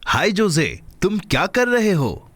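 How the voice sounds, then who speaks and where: A man voicing a friendly, helpful companion to a boy in a children's cartoon dub, with expressive delivery. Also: sits high, unhurried, a little hiss or hum, heavily acted. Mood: curious, impressed.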